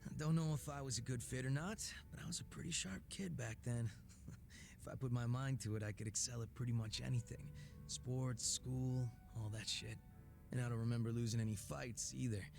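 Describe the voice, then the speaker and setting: An actor playing a cocky, laid-back former gang boss in a video game, teasing and sardonic with a languid drawl and casual swearing. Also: male voice, mid-range voice, steady tone, brisk, vocal fry, over music, close to an everyday voice. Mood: candid, boastful. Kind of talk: English video game voice acting.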